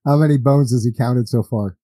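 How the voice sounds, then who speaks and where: A man running a tabletop game as game master, narrating casually in a jokey, halting way. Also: medium pitch, dark tone, dry recording, clean studio sound.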